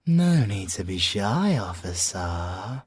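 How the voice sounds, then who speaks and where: Slow, husky, suave British male siren, acted by a man. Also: lowish voice, big pitch swings, dry recording, exaggerated voice. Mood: seductive, controlling. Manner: slow, drawn-out teasing delivery with whispered phrases. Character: suave, flirtatious, possessive male siren, smugly self-assured. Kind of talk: ASMR audio roleplay.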